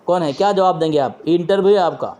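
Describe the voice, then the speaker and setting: Man, high voice, religious debater, pressing questions, quoting texts, insistent. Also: fast talker, a little mellow. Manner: quotes from books and scripture. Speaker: interviewer and debater. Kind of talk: religious debate on a phone call. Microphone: phone call.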